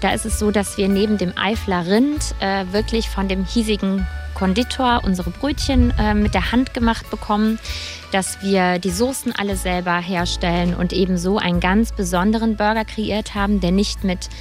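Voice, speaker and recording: A woman's voice: mid-range voice, fairly even intonation, moderate pace, soft background music. A middle-aged woman from the Rhineland, explaining things as an interview guest in the hospitality trade. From Rhineland, Germany. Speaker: hotelier and restaurant host, interview guest.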